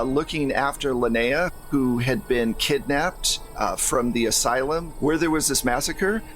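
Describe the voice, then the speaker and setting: A man's voice: medium pitch, a bit bright. A man hosting a tabletop roleplaying game as game master, narrating in a casual, conversational way. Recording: faint background noise and ambience.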